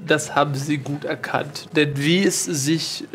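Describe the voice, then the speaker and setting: A man's voice: fairly high pitch, fairly even intonation, a little slow, fairly bright tone. A man playing a foppish, wealthy lord in an improvised audio drama, pompous, formal and condescending. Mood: formal, conspiratorial. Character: smug, rich, foppish English lord.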